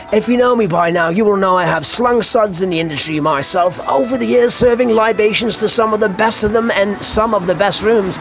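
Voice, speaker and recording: A Scottish man hosting a comic monologue, speaking in a theatrical, foul-mouthed way full of colourful slang. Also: high voice. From Scotland. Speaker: podcast host. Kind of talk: comic monologue rant to listeners. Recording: music under the voice. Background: music.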